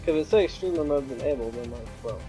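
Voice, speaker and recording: A young man hosting a casual gaming stream, joking and self-mocking, with a reasonably high-pitched voice, talking into a home desktop mic. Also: lively intonation, fast, dark tone. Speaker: stream host. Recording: background music.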